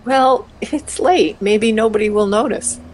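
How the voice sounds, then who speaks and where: A woman's voice: fairly high pitch, a little slow, slight background noise, natural voice, low-quality recording. A woman playing a bold, practical, scheming partner in crime in an audio drama, talking in a conversational, conspiratorial way. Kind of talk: amateur audio drama dialogue.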